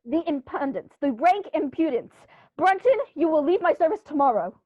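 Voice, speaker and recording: A genteel, emphatic country gentleman, played by a woman in a theatrical old radio drama style. The voice is high, and the recording is close and dry with a muffled top end. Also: big pitch swings, normal speed, no room sound. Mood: indignant. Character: cordial, indignant upper-class English country squire, male. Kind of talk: 1930s-style radio play acting.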